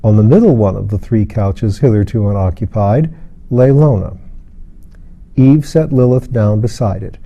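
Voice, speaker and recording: A man's voice: lowish voice, normal speed, vocal fry, mellow, lo-fi sound. A man reading literary fiction aloud as an audiobook narrator. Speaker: audiobook reader.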